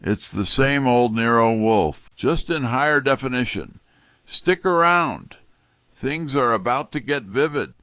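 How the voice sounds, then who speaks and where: An actor playing a wry, wisecracking detective's legman, delivering scripted mystery narration and dialogue in a folksy, unhurried way. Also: mid-range voice, lively intonation, a bit bright, dry recording. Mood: wry, inviting.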